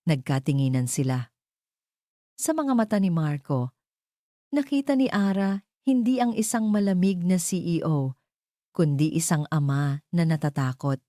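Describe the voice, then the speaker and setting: A woman's voice: sits low, dark tone, dry recording, clean studio sound. A woman with a Filipino accent reading a scripted dramatic story as narrator.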